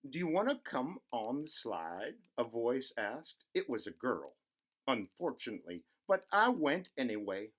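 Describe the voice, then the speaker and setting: A man's voice: mid-range voice, very animated, unhurried, fairly bright tone. A man voicing a lonely, timid young boy from a picture book, earnest and wistful. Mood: shy wonder.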